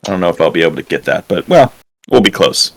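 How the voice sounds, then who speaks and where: A man playing a jittery member of a gothic household in a tabletop game. He stammers and doubles back on his words, with a very low, deep voice. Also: very animated, creaky, heavily acted. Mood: flustered. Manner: halting, repeats words.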